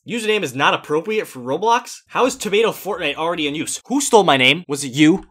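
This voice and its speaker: A young man giving energetic, exasperated gameplay commentary as a gaming YouTuber, with loud outbursts. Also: a little high, fast, slightly rough, soft background music.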